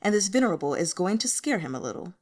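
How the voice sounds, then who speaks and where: A woman's voice: mid-range voice, big pitch swings, quick pace, darkish tone. A woman voicing a commanding, protective male cultivation lord in an audiobook, speaking formally and with self-assurance. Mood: calm, commanding.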